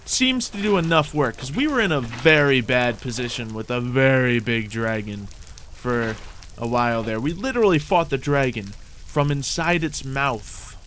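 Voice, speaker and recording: A man's voice: mid-range voice, lively intonation, moderate pace, few pauses, a bit bright, slight background noise. A man hosting a gaming video, thinking aloud in casual gameplay commentary.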